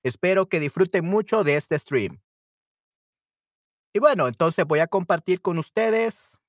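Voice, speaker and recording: Young salvadoran guy teaching spanish on stream, chatty, dry close sound, kinda high voice. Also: expressive, measured pace, dry recording. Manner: chatty and friendly, mixes in English asides to himself. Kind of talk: casual live-stream lesson.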